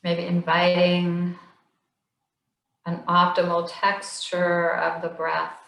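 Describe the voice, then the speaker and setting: A woman slowly leading a guided body scan meditation in a gentle, soothing voice with long pauses. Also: lowish voice, monotone, gravelly, echoey room. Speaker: meditation instructor.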